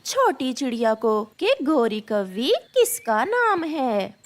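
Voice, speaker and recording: A haughty, scheming female cartoon crow, played by a woman. The voice is high and swoops up and down as it goads in a brash way. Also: big pitch swings, slow pace. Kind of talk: cartoon animal story acting.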